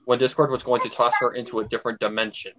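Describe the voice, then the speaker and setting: A man's voice: mid-range voice, level tone, very fast, heavy background noise. Man chatting casually, blunt and grumbling. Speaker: livestream co-host. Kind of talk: casual chat.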